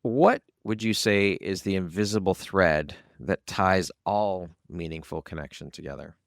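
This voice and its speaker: Deep-voiced man hosting an interview podcast. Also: a little slow, vocal fry, no echo, studio quality. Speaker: podcast host. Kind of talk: conversational interview.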